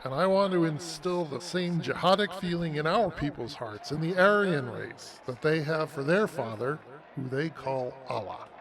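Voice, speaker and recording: Man acting a zealous, highish-voiced extremist speechmaker. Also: expressive, normal speed, hardly any pauses. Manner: rousing speechmaking. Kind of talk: rally speech in audio play. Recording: crowd noise, public event sound.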